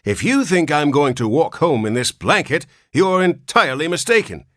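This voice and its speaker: A man's voice: a little high, sing-song, normal speed, fairly bright tone, no room sound, studio quality, pitched above the performer's own voice. A man voicing a querulous ancient old man who has just been born, with a cracked, whining voice. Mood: querulous whine.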